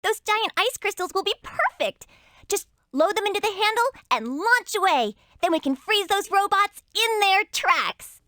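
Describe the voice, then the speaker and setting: A woman voicing an upbeat, helpful young guide in a children's computer game, giving brisk instructions. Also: extremely high pitch, lively intonation, pushed and bright, dry recording, studio quality, full character voice.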